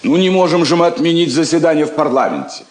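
An actor playing a bookish, passionate middle-aged diplomat, wry and rhetorical, in a theatrical radio drama. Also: male voice, on the high side, expressive, quick pace, darkish tone, close to an everyday voice. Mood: indignant, wry.

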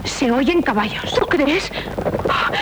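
Girlish castilian noble daughter, eager n excitable, high voice, noisy old 70s radio play. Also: slow. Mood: flustered, excited. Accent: Castilian Spanish. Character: Jimena, eager, adoring seventeen-year-old noble daughter. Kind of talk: 1970s Spanish radio theatre acting. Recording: noisy 1970s radio drama recording.

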